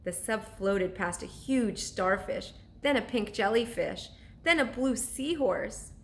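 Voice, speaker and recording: A woman reading a children's story aloud like a teacher to pupils, with expressive, deliberate narration. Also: mid-range voice, slight background noise.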